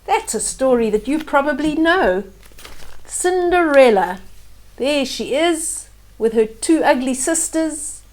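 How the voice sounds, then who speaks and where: An older woman reads a children's story at a very unhurried pace. Her voice sits a little high, and she pauses to explain the pictures gently and simply to young listeners. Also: expressive, poor audio. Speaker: storyteller reading children's books aloud. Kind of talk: children's bedtime story read-aloud with asides about pictures.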